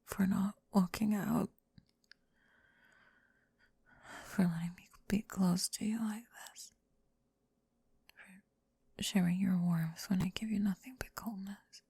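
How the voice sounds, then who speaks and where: A woman playing a remorseful, vulnerable young woman in an intimate emotional ASMR roleplay, speaking haltingly and tenderly in a breathy, often whispered voice, close to the mic. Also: mid-range voice, fairly even intonation, mellow, no echo, full character voice. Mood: tender, sleepy. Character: remorseful, vulnerable young woman confessing to someone she rejected. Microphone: close, dry microphone.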